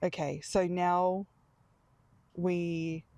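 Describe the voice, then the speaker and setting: A woman's voice: fairly low pitch, flat delivery, very slow, dark tone, no room sound. A woman with an Australian accent chatting casually in a dry, understated way, as a podcast host out walking. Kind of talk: casual unscripted-sounding chat on a walk. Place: outdoors, walking along a small-town street.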